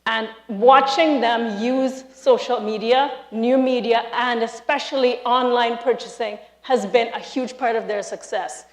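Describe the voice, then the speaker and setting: A woman's voice: a little high, a little slow, few pauses. Trinidadian woman giving an echoey stage talk. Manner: lively, emphatic, addresses audience directly. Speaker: speaker, university lecturer. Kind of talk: live conference talk. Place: large hall, live audience.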